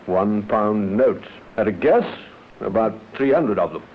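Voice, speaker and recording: A man's voice: fairly low pitch, animated, measured pace. An actor playing an authoritative male police inspector in a radio drama, clipped, official and probing. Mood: firm, official. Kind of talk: 1950s-style radio drama acting. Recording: thin, narrow-band vintage radio recording.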